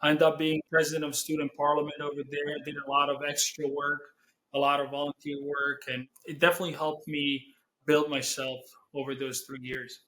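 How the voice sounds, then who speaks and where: A young man speaks with a Serbian accent, his slightly raised voice carrying relaxed interview answers. English is not his first language, and he pauses now and then to find the right word. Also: on the high side, rough recording. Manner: relaxed, searching for words in non-native English. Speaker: guest, business owner.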